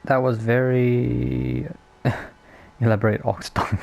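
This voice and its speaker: A woman's voice: very deep voice, level tone, takes it slowly, vocal fry, a little mellow. A woman giving casual, offhand gameplay commentary in short dry reactions. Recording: game music behind the voice.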